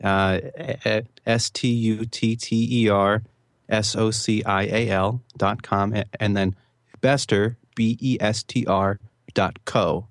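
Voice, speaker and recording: A man's voice: fairly low pitch, fairly even intonation, takes it slowly, no echo, clean studio sound. A man on a radio talk show who stutters, spelling out a web address. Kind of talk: casual radio chat.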